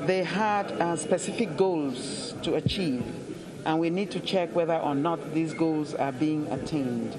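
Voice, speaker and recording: A man's voice: on the high side, slow pace, poor audio. A man with a Cameroonian accent giving formal explanations as an official interviewed for the news. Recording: crowd murmur behind the voice.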